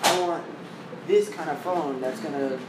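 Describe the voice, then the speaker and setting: A man's voice: on the high side, unhurried. A young man in his twenties chatting casually, rambling loosely with lots of "like" and casual swearing. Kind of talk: casual chat. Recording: roomy, distant mic with background noise.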